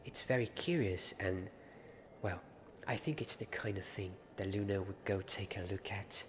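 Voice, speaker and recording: A man plays a mild, helpful fellow in a soft-spoken ASMR fantasy roleplay. His voice is on the low side, gentle and musing, with hesitant pauses. Background noise is clearly heard. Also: close to an everyday voice.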